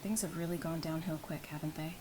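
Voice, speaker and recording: A woman's voice: low-pitched, fairly even intonation, a little fast, mellow, lightly acted. A woman playing a tough, caring survivor with a familiar, teasing way of talking in a fan audio drama. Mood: teasing, friendly.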